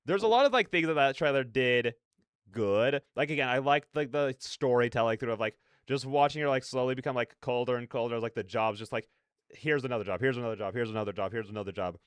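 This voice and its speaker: A man's voice: mid-range voice, fast, clear, resonant tone, no echo. A man hosting a reaction video, giving casual, rambling commentary as he thinks aloud. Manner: rambling, peppered with "like" and "you know".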